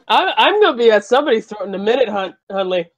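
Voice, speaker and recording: Dull-sounding, higher-voiced woman, teasingly scolding. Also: dry recording. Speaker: live stream host moderating a chat. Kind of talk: casual chat. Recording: dull, lo-fi microphone.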